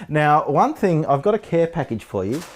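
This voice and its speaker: A man co-hosting a relaxed chat show, quick to crack jokes and laugh along. His voice swoops and lifts with lively intonation as he teases his guest. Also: fairly high pitch. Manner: jokey and upbeat, laughs often. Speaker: co-host. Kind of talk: casual chat.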